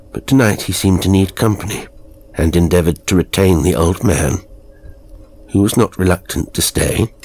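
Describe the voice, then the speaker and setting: Very deep-voiced man narrating a horror tale. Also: a little mellow, slightly rough. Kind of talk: scripted dramatic storytelling narration.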